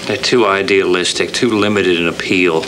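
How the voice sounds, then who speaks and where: An actor playing a frustrated, bitter writer in a radio-play drama, cynical and declamatory, on a lo-fi analog recording. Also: male voice, low-pitched, steady tone, normal speed, fairly bright tone. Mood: indignant. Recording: very noisy lo-fi analog film transfer.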